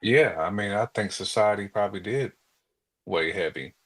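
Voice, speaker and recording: A man with a deep voice chats casually as a podcast co-host. He reasons his way through points out loud, often doubling back on his words in a conversational style. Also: moderate pace.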